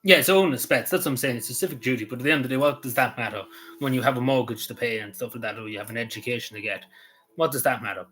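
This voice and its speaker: A man's voice: medium pitch, racing, a bit bright. An Irish man chatting casually on a livestream, answering his viewers in a rambling way, full of "you know" and restarts. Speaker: YouTuber answering live chat.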